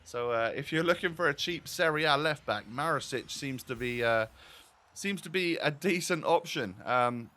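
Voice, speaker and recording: A man's voice: fairly high pitch, normal speed, sharp tone. A man hosting a gaming video with casual, chatty, rambling and self-deprecating commentary.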